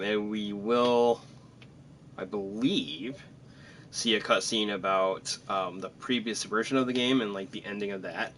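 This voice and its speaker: A man chatting casually as he streams a game, joking and rambling in an animated way. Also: lowish voice, a little hiss or hum. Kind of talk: casual gameplay commentary and chat.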